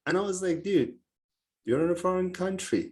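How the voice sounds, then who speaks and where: A man's voice: high-pitched, moderate pace. Candid man in his thirties, from Turkey. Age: 30s. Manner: relaxed and candid, many fillers, laughs while making a point. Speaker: guest, mindset coach. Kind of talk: casual storytelling in conversation.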